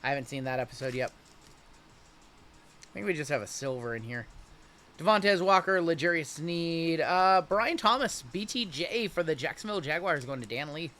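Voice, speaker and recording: A man's voice: fairly high pitch, expressive, normal speed, sharp tone. A man in his late thirties hosting a live card break, chatting casually with viewers while rattling off player names from each pack. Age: 30s.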